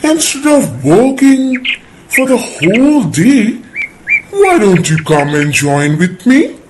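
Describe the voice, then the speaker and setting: A woman voicing a male grasshopper in a children's puppet-show story, with slow, drawn-out delivery. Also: fairly high pitch, very animated. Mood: breezy, inviting. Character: carefree lazy male grasshopper, later pleading and humbled. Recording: noisy track with chirping birds and insects.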